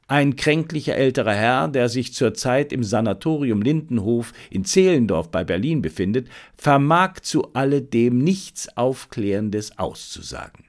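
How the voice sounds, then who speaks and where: A man reading a detective novel as an audiobook, in a measured, expressive storytelling manner. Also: medium pitch. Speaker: audiobook narrator. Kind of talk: scripted dramatic narration of a detective novel.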